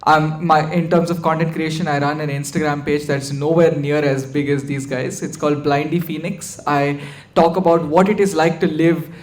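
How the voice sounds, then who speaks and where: A young man with a fairly high-pitched voice introduces himself at a panel event. He speaks haltingly, restarting often and filling pauses. The recording has a roomy echo and faint ambience. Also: fairly even intonation, a little hiss or hum. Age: young adult. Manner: halting, many fillers and restarts. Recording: echoey hall, faint ambience.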